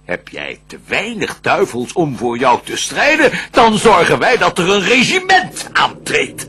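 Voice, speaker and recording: A scheming, vengeful ruler of hell declaims verse for a radio play. The voice is high and forceful, with huge melodic swings. The delivery is grand and theatrical. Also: male voice, wildly swooping pitch, unhurried, husky, full character voice. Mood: commanding, triumphant.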